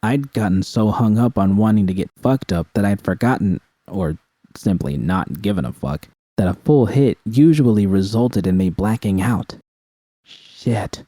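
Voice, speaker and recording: A man's voice: on the low side, animated, measured pace, dark tone, no room sound. A man narrating scripted first-person fiction as an audiobook reader.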